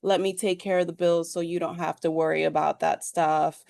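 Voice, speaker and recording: A woman from New York, born in Peru, telling her personal story as an interview guest in a hesitant, halting way. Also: lowish voice, flat delivery, creaky, darkish tone, no room sound. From New York, USA, born in Peru. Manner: hesitant, halting, thinking as she speaks. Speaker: podcast guest.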